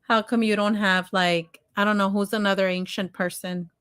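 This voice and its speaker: A woman's voice: medium pitch, steady tone, moderate pace, fairly bright tone, clean tone. A woman co-hosting a live stream in casual conversation, chatty and informal, with exclamations and slangy asides. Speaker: podcast co-host.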